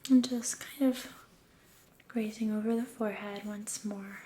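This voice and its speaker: A soft-spoken woman doing an ASMR spa role-play as an aesthetician, breathy and often whispering, gentle, soothing and encouraging. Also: mid-range voice, steady tone, a little slow, slight background noise. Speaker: ASMR creator playing a med spa aesthetician.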